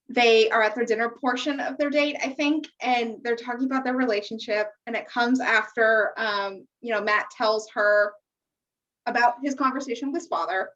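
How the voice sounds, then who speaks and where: High-voiced American woman, muffled and distant. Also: fairly bright tone. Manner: candid, opinionated, swears casually. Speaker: podcast co-host. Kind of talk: casual chat recapping a reality TV show. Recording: muffled, distant-sounding microphone.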